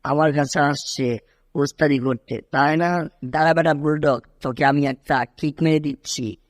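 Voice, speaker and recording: A man voicing an excitable, boastful cartoon cat who dreams of kung fu mastery, with whining exclamations. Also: on the high side, normal speed, no room sound, clean studio sound, lightly acted. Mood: indignant, boastful. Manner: animated, whining, exclaiming, shouting kung fu cries. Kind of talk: amateur cartoon dub in Bengali.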